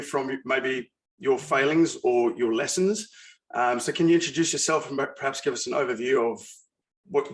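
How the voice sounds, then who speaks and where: A man hosting a podcast, introducing the guest and asking questions in a hesitant, relaxed way. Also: mid-range voice. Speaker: host.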